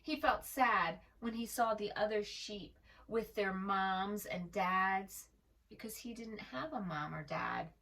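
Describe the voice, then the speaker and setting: A woman telling a children's story in an expressive, gentle manner, as a read-aloud for preschoolers. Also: mid-range voice, slow pace, recorded from a distance. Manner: expressive, gentle, asks the listener questions.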